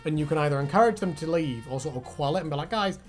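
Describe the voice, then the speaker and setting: A man's voice: fairly high pitch, animated, racing, faint music behind. A man hosting a casual chat in a rambling, joking way with crude humour. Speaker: host.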